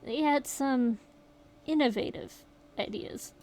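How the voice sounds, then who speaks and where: A woman voicing a shaken young survivor, reading the account aloud in a steady, rueful way. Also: high voice, takes it slowly, slight background noise. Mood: grim retelling. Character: shaken young survivor recounting a horror night.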